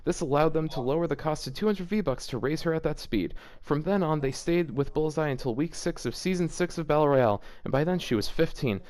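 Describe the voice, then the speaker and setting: A man's voice: fairly high pitch, fairly quick, hardly any pauses, a little mellow. A man hosting a casual read-aloud with running commentary, sounding sardonic and exasperated. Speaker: host of a fan fiction reading series.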